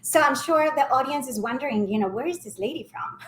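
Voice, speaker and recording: A middle-aged woman with an Ecuadorian Spanish accent tells her story at a fairly high pitch, tagging her points with "right?". The room around her echoes. A faint hum sits underneath. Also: animated, quick pace. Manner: warm storytelling, often adds "right?". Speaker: hotel sales director and mentor. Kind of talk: casual mentoring interview.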